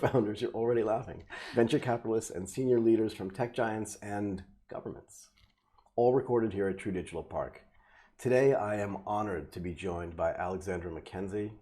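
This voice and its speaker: A deep, dark-voiced man hosts an interview at a quick clip. He is gushing and upbeat, piling on praise and laughing easily, in a very low and mellow tone. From United States. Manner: enthusiastic, effusive, laughs often. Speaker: podcast host. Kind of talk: podcast interview.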